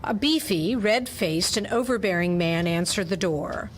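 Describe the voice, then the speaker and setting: A woman's voice: mid-range voice, expressive, a little slow, noisy background. A woman reading scripted, dramatic narration for a hardboiled detective radio story.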